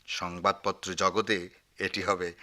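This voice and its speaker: An actor playing an effusive, grandiloquent newspaper editor in a comic radio drama, speaking in florid, wheedling phrases. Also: male voice, low voice, little pitch movement, takes it slowly, pushed and bright, full character voice. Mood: grandiose. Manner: pompous, florid, wheedling, with hesitant filler sounds.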